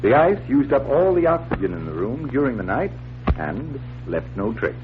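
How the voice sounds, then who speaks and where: An actor playing a confident stage magician detective in a 1940s radio drama, speaking with measured, theatrical authority. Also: male voice, mid-range voice, sing-song, close to an everyday voice. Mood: explaining. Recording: muffled, humming, hissy 1940s radio recording.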